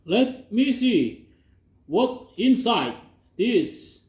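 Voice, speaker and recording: A man with a Russian accent speaking halting English, casually unboxing products in a vlog. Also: high voice, very animated, darkish tone, roomy sound. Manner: slow, halting non-native English with pauses. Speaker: unboxing vlogger.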